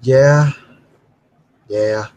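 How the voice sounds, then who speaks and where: A man's voice: mid-range voice, very slow, darkish tone, muffled recording. Man doing an easygoing werewolf guy. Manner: easygoing, casual. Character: laid-back young male werewolf.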